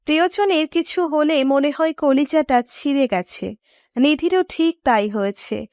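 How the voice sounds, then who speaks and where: Female narrator for romantic audio fiction, high pitch, dry close recording. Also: a little slow, a little mellow, no echo. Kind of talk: scripted romantic story narration.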